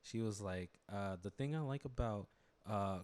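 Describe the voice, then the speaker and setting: A man's voice: on the low side, moderate pace, a little mellow, clear, resonant tone. A young man co-hosting a podcast, chatting loosely with jokes, swearing and plenty of fillers. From United States. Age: young adult. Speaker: podcast co-host. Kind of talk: casual chat.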